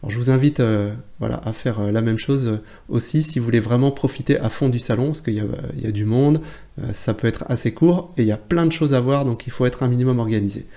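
A man's voice: medium pitch, fast, mellow. A man in his forties, a photography trainer, talking casually to camera in a plain indoor room, chatty and full of fillers and restarts. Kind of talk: casual video blog to camera.

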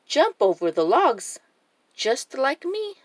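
A woman hosting a children's story time, reading a picture book aloud expressively with deliberate phrasing. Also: high voice, sing-song, no echo. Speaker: children's storybook reader and channel host.

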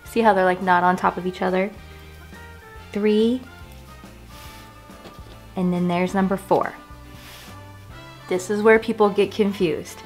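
A woman's voice: mid-range voice, steady tone, slow pace, long pauses, soft background music. A woman in her forties hosting a chatty home decor vlog, talking through a table setting in her dining room with a camera across the table. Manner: chatty, bubbly, self-teasing. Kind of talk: casual how-to vlog.

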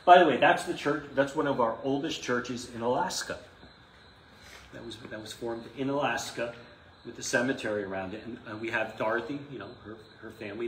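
Bright-voiced middle-aged priest teaching in echoey room. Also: male voice, mid-range voice. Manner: conversational, emphatic, rhetorical questions and asides. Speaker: Orthodox priest teaching a class. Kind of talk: informal live lecture to a class. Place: small indoor classroom. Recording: echoey room, some noise, mic at a distance.

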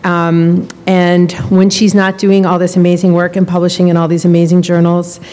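A woman giving a relaxed, chatty introduction of the next speaker at a stand mic. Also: on the low side, normal speed. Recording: reverberant hall.

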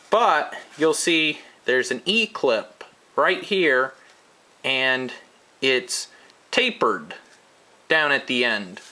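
A man giving a hands-on how-to lesson at a workshop bench, explaining each step slowly and methodically as he works. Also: medium pitch, pushed and bright, a little hiss or hum. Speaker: DIY welding instructor.

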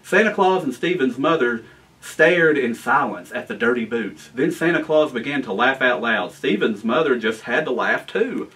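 An American man in his forties reading a children's storybook aloud in an animated, expressive way. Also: medium pitch, normal speed, distant mic, low-quality recording. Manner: animated, expressive reading with asides to young listeners. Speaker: guest storyteller, town official. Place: library room with bookshelves, indoors.

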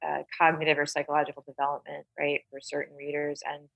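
Low-voiced woman in a literary chat. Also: monotone, quick pace, gravelly, a bit bright, dry recording, muffled recording. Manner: thoughtful, often checking in with "right?". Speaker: podcast panelist. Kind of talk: casual literary discussion.

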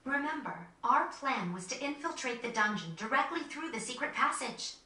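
An earnest young rebel woman from a video game, with a highish, lively, clear-edged voice. She is soft-hearted and dramatic. The sound is roomy and a little distant. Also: normal speed. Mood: concerned, questioning. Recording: roomy, distant, noisy screen capture.

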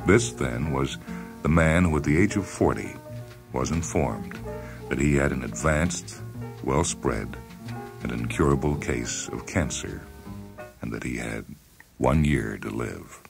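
A man narrating a short story in a measured, deliberate, dry deadpan way, as a scripted dramatic reading. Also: extremely low pitch, vocal fry. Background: background music.

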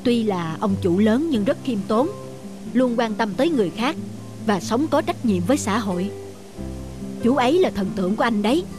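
A man's voice: high-pitched, expressive, moderate pace. An actor playing a composed young businessman husband in a cartoon, courteous and earnest in manner. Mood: earnest, admiring. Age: young adult. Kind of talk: cartoon voice acting. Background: faint music.

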